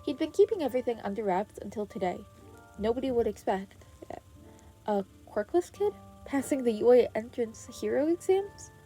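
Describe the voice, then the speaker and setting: Mellow woman narrating a story over music. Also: a little high, expressive, normal speed. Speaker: podfic narrator. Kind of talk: expressive scripted story narration. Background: background music.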